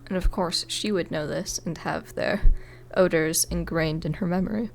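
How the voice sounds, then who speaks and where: A woman's voice: lowish voice, moderate pace, creaky. A woman casually commenting on a video game as she plays and reading its text aloud. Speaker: gaming video host. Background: soft game music.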